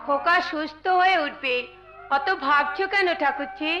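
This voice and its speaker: An actress playing a protective, sharp-tongued sister-in-law with emphatic delivery in Bengali folk theatre melodrama. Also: female voice, high-pitched, normal speed, natural voice. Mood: concerned. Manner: emphatic, declamatory. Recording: echoey stage recording with loud music.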